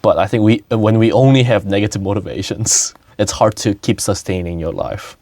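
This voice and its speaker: A man's voice: fairly low pitch, normal speed, a little mellow, no echo. A young man from South Korea, hosting a casual talk, who laughs often and talks with many fillers and restarts. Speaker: podcast host. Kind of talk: casual conversation.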